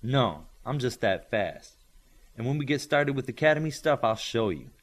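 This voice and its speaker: A man's voice: mid-range voice, big pitch swings, moderate pace. A man playing a polite, reserved young boy in an audiobook, speaking in a plain, understated way. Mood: matter-of-fact. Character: polite, matter-of-fact, reserved speedster boy. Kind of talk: audiobook character acting.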